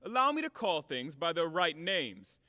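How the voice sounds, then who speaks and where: An actor playing a brash, hot-tempered, blunt creditor in an audio play of a classic farce, with a blustering, theatrical, emphatic manner. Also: male voice, a little high, sing-song, normal speed, pushed and bright, no room sound, studio quality, big-room sound. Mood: mocking, indignant.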